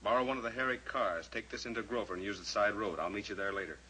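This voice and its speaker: A steadfast male hero of an old radio western. His voice is fairly low and bright, firm and calm in delivery. The vintage recording has faint noise. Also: quick pace, poor audio. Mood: calm resolve. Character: steadfast, brave cowboy pilot hero, adult man. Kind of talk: old-time radio drama acting.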